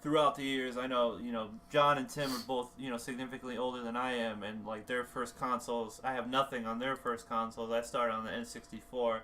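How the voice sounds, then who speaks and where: A man's voice: medium pitch, level tone, a little fast, few pauses, sharp tone, low-quality recording. A young man from Rhode Island, a podcast guest chatting in a laid-back way, earnest at times, chuckling often. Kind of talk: casual chat.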